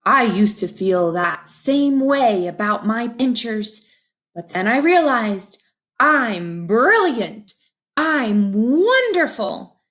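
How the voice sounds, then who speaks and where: Proud beetle artist for a picture book, performed by a woman: exuberant, self-assured, animated, savoring each word slowly. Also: a little high, heavily acted. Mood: encouraging. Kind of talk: children's storybook read-aloud.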